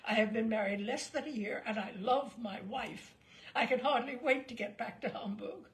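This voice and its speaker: A woman's voice: a little high, moderate pace, lightly acted. A woman voicing a young male ship's mate, earnest and stubbornly protesting, in a storybook reading.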